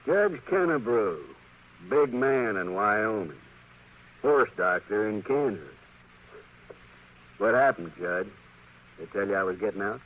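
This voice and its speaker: On a dull, hissy old radio western, a vengeful ex-convict speaks in a low voice. He is bitter and menacing, pressing every threat with hard insistence. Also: lively intonation, slow, long pauses. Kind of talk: 1950s radio western acting.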